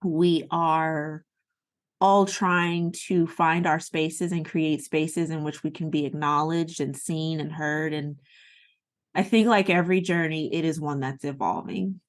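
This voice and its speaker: A middle-aged woman with an American accent, speaking as a podcast guest in casual, reflective, expressive conversation. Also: deep voice, a little slow. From United States.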